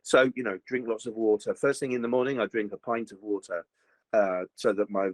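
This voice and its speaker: An older man, a voice actor chatting in an interview, slightly gruff, rambling with many fillers and restarts. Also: on the low side, steady tone, a little fast, dry recording. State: slightly gruff after a recent virus. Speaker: voice actor guest. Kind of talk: casual interview chat.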